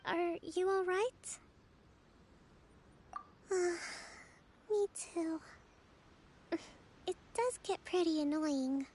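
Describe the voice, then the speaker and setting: A woman playing a composed young female shrine leader in a game, polite and measured, with a quiet weariness. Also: very high-pitched, lively intonation, slight background noise, heavily acted. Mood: patient, tired. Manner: polite, measured, softly formal. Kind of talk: English game voice acting.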